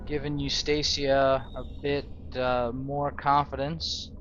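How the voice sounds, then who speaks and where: A man's voice: medium pitch, level tone, slow pace. A man narrating a role-play video game playthrough, mixing storytelling with casual reactions. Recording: dull lo-fi, loud game music behind. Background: game music.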